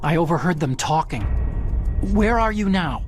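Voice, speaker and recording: An actor playing an earnest, nerdy young computer hacker in a video game, eager and explanatory. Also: male voice, a little high, animated, moderate pace, slight background noise, natural voice. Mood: earnest, confiding. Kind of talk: dramatic video game voice acting. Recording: prominent game music behind the voice.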